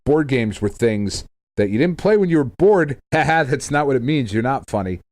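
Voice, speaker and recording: A man's voice: medium pitch, lively intonation, moderate pace, no room sound. A middle-aged American man co-hosting a podcast with casual, rambling, jokey banter and some swearing. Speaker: podcast co-host.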